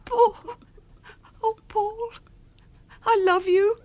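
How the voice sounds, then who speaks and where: An actress in a radio drama playing an anxious, loving middle-class English wife in an emotional, dramatic manner. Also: female voice, extremely high pitch, fairly even intonation, very slow, very noisy, full character voice. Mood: grieving.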